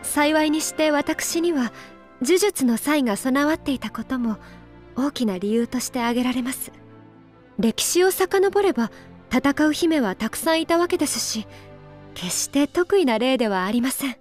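A woman's voice: sits high, animated, moderate pace, faint music behind. A woman playing a dignified young princess in a Japanese video game, speaking politely and formally with earnest resolve. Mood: dignified, resolute. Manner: polite, formal, composed speech.

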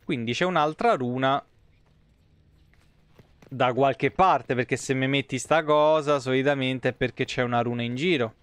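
A man's voice: medium pitch, moderate pace, fairly bright tone, smooth, dry recording. A man, giving blunt, wry, sweary live commentary as he streams a video game. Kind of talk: casual live gameplay commentary.

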